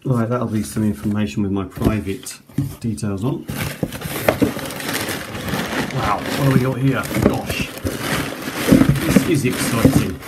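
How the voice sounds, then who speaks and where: A middle-aged man giving a casual, unscripted hands-on product review in an unhurried, rambling way. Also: lowish voice. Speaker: amateur cassette tape reviewer. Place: indoors at a kitchen table. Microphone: tablet's built-in mic at close range. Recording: noisy home tablet recording with clicks.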